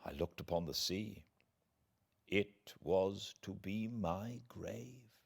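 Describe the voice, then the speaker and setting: British man, audiobook narrator, deep pitch, unhurried delivery, theatrical phrasing, dramatic pauses, literary storytelling. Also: creaky, breathy edge. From Britain.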